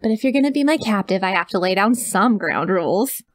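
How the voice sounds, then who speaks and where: A woman's voice: medium pitch, expressive, brisk, dry recording, clean studio sound, close to an everyday voice. A woman playing a sweet, awkward, clingy young yandere kidnapper in an ASMR audio roleplay, rambling and gushing straight to the listener. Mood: excited, doting.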